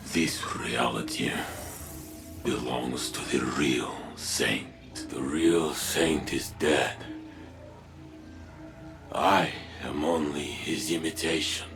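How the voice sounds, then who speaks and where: Very deep, gravelly tormented robot warrior. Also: male voice, exaggerated voice. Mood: anguished. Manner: halting, grave delivery. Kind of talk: video game voice acting. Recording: game music behind the voice.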